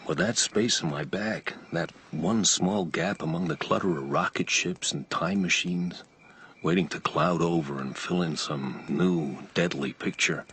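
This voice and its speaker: Deep-voiced haunted older drifter. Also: male voice, fairly bright tone, slight background noise. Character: haunted, vengeful older tattooed wanderer, brooding and taunting. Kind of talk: radio drama storytelling.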